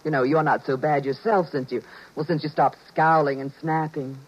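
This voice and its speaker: A woman playing an affectionate, coyly knowing suburban wife in a 1950s radio drama, with gentle, coaxing phrasing. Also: low-pitched, fairly even intonation, normal speed, slightly rough. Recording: dull, muffled 1950s radio recording.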